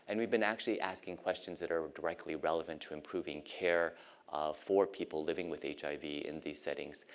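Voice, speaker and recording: Very low-voiced man giving a lecture. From United States (Ohio). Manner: measured, frequent ums and uhs. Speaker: guest lecturer, physician and professor. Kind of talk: academic lecture.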